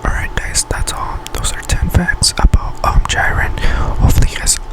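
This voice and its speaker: Male ASMR host, whispered throughout, breathy and unvoiced, reading facts with halting, self-correcting delivery. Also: low-quality recording. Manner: halting delivery, stumbles and repeats words while reading. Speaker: ASMR video creator and host.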